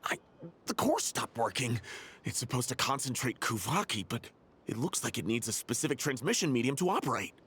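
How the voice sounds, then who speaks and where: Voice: mid-range voice, sing-song, fairly quick, fairly bright tone, slight background noise. A performer voicing a nervous engineer underling in a game, who stammers and hesitates while explaining technical problems. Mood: worried. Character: timid nervous engineer underling, anxious and unsure. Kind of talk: game dialogue voice acting.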